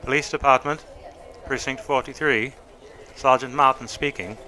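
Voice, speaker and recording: A man's voice: medium pitch, fairly even intonation, normal speed, sharp tone, slight background noise, lightly acted. A man playing a matter-of-fact police desk sergeant answering the telephone in a radio play. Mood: businesslike. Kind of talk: old-time radio drama acting.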